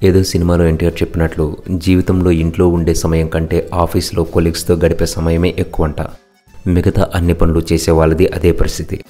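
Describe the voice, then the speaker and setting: A man narrates a market video in a calm, musing way. His voice sits very low and mellow and barely rises or falls. He keeps a quick pace, with light music playing underneath. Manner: calm, thoughtful, philosophizing. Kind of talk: reflective scripted voice-over narration.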